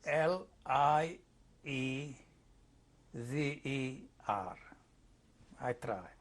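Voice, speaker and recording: A man in his eighties from Hungary with a Hungarian accent, slowly recounting his life in an oral history interview, halting and searching for words. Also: medium pitch, expressive. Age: 80s.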